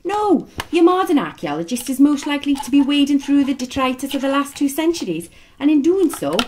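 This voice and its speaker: A plucky female archaeologist in an audio drama. Her voice is highish and hugely animated, practical yet sharp-tongued, with faint noise behind it. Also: brisk. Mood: matter-of-fact. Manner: feisty, teasing, matter-of-fact.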